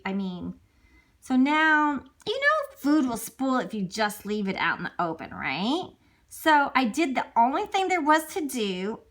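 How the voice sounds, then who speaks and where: Woman playing a wildly animated, chatty big bad wolf. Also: sits high, full character voice. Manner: rambling asides, sly mock innocence, repeated words. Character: chatty, self-excusing male big bad wolf storyteller. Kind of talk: animated read-aloud children's storybook, told in character.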